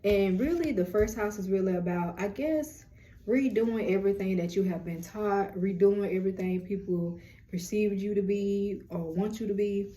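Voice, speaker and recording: A young woman with a deep voice, hosting a rambling, casual lunchtime chat on her vlog. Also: moderate pace, dark tone. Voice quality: low, deep voice. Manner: chatty, rambling, self-described yapper. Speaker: vlog host.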